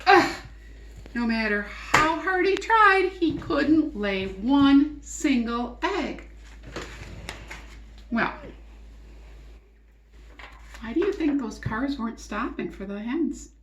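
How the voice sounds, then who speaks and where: A woman teacher reading a storybook aloud to young children, expressively, pausing to ask questions and explain things simply. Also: sits high, animated, a bit bright, a little hiss or hum. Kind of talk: interactive storybook read-aloud and lesson. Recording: echoey, roomy sound.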